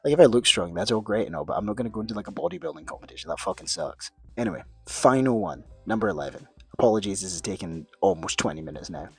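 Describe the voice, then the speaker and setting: A young man with a low, slightly husky voice, chatting casually to camera. He rambles, pokes fun at himself and swears without thinking. Faint music plays behind him. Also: very animated, quick pace, few pauses. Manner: rambling, self-mocking, swears casually, trails off and restarts. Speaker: video creator answering questions. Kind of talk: casual chat.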